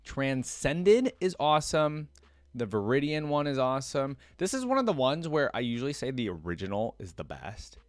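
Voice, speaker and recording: A man's voice: mid-range voice, big pitch swings, normal speed, no room sound. A man talking casually and enthusiastically as a reaction video host, commenting and reading text aloud.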